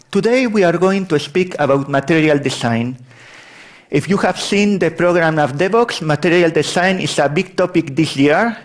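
Man, Spanish accent, conference speaker, slightly high voice, halting restarts, large hall. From Spain. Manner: apologetic about his English, frequent restarts. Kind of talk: technical conference talk.

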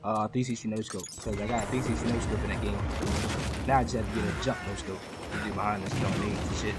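A young man giving casual, profanity-laced commentary over his own console shooter gameplay, chatty and quick to laugh at himself. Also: lowish voice, steady tone, loud music behind the voice. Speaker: gaming YouTuber.

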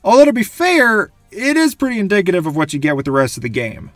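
Animated, high-voiced young man. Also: quick pace, dry recording, soft background music. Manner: gushing, animated. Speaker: video game reviewer, host. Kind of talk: enthusiastic scripted commentary.

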